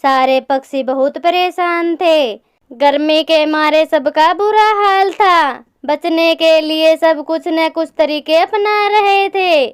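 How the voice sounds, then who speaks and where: A woman's voice: very high-pitched, slow. A woman narrating a scripted children's story.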